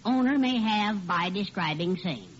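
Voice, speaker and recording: A man's voice: high-pitched, animated, measured pace. An actor playing a meek, henpecked little man, prim and plaintive, in a vintage radio sitcom. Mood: prim, worried. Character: meek, mousy, henpecked little male neighbor. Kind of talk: 1940s radio sitcom acting. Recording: muffled, noisy old radio recording.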